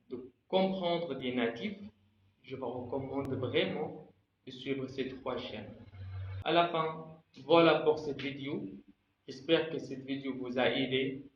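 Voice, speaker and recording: A man in his twenties from Egypt, a native Arabic speaker with an Egyptian Arabic accent, explaining things in a measured, teacherly way as a channel host. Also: medium pitch, a little hiss or hum. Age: 20s. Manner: slow, deliberate, teacherly, listing points. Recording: echoey room, slightly dull sound.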